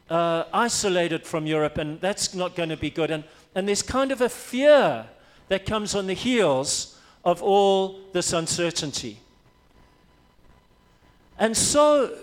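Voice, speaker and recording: A man from Africa, a pastor preaching a sermon, emphatic and repeating his key phrases for weight. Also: high voice.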